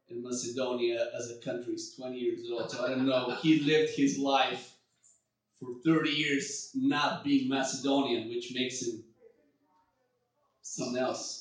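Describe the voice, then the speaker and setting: A man telling a comic story on stage, deadpan and wandering, interrupting himself as he goes. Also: medium pitch. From Bulgaria. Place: stage at a live show. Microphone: phone recording from the audience. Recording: distant echoey phone recording.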